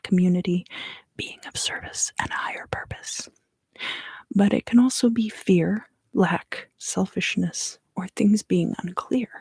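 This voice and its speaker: A woman giving an ASMR card reading for a live stream in a soft, unhurried, often fully whispered voice, close to the microphone. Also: fairly low pitch, creaky, breathy edge, dry recording. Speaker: ASMR tarot reader and live stream host.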